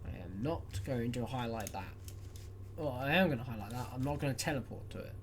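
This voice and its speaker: English woman, tired let's-play host, rambly and self-mocking, keyboard typing clear behind. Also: rumbling low, animated, a little fast, noisy background. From England. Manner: chatty, rambling, self-deprecating. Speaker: gaming YouTuber. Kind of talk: casual gameplay commentary.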